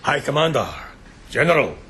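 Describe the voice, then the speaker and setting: An actor playing a sadistic bandit chieftain in a radio drama, theatrical and mocking, relishing every word with menace. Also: male voice, fairly high pitch, big pitch swings, normal speed, fairly bright tone. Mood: sneering, taunting. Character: gloating, sadistic bandit chieftain taunting bound captives.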